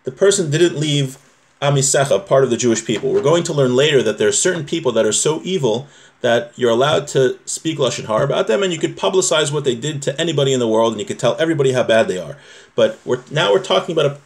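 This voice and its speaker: A man's voice: medium pitch, lively intonation, fast. Man teaching an informal religious law class. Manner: explanatory, repeats points, checks with "right?". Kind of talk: informal lesson, reading a text aloud and explaining it.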